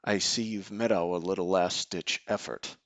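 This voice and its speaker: An actor playing a menacing, mysterious villain who taunts in a theatrical, pompous way. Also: male voice, deep voice, steady tone, unhurried, no echo. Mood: sinister taunting.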